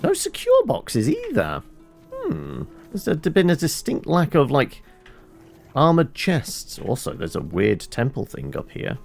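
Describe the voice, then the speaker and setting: A man's voice: mid-range voice, wildly swooping pitch, unhurried, vocal fry, faint music behind. A British man giving casual, chatty live commentary as he plays a game, with wry asides. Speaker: game streamer.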